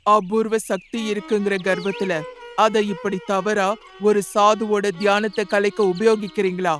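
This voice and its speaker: A stern, saintly pigeon from a cartoon fable. The voice is high for a male one and barely varies in pitch. It is solemn and reproving, with faint music underneath. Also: level tone, normal speed, fairly bright tone. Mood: indignant.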